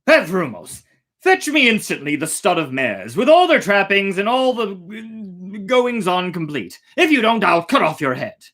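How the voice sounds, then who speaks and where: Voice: mid-range voice, sing-song, a little slow, fairly bright tone, pitched above the performer's own voice. A storyteller voicing an imperious, threatening male emperor in a fairytale. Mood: commanding, threatening.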